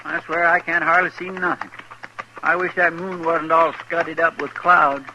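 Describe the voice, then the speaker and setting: A man's voice: a little high, little pitch movement, measured pace, muffled recording, close to an everyday voice. A man playing a loyal, folksy deputy with a drawling rural American accent in a western radio drama. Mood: agreeable, uneasy. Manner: drawling, homespun, deferential to his boss. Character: folksy, loyal, plain-spoken marshal's deputy sidekick. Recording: noisy vintage radio recording.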